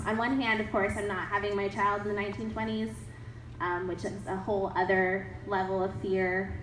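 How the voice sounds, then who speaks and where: Young woman author taking audience questions, animated and upbeat, heard from a distance in an echoey hall with faint background noise. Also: medium pitch. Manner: animated, laughs often, upbeat. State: has a cold. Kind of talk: casual Q&A talk. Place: event hall.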